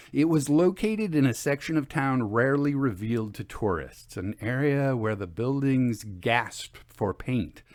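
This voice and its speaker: A man narrating a scripted first-person story in a measured, expressive, literary manner. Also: medium pitch, no echo.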